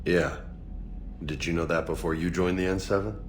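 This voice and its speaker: An actor playing a casual, easygoing but burdened soldier in a video game, with informal, slangy speech. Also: male voice, sits low, steady tone, normal speed, slight background noise, natural voice. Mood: earnest. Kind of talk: conversational video game acting.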